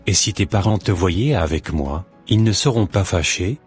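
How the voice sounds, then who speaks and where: A man's voice: low voice, lively intonation, normal speed, slightly rough, faint music behind, exaggerated voice. A man voicing a teenage boy who persistently courts a girl, coaxing and insistent. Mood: pleased.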